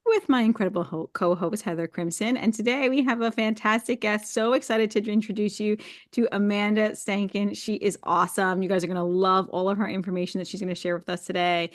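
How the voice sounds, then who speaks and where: A woman's voice: medium pitch, steady tone, a little fast, no room sound, studio quality. A woman hosting a podcast, introducing a guest in a bubbly, enthusiastic way. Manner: bubbly, enthusiastic, laughs often. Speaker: podcast host. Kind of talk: casual podcast chat.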